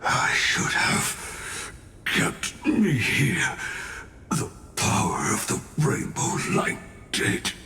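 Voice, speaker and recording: A man playing a dying master craftsman warrior in a video game, solemn and resolute, speaking haltingly in inverted word order. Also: mid-range voice, sing-song, pushed and bright, a little hiss or hum, heavily acted. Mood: pained, weak. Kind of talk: dramatic video game voice acting. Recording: reverberant voice over background music.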